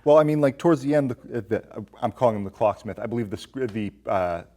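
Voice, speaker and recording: A man's voice: medium pitch, animated, fast, darkish tone. A man hosting a podcast, talking through films in a conversational, questioning and discursive way. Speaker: podcast host.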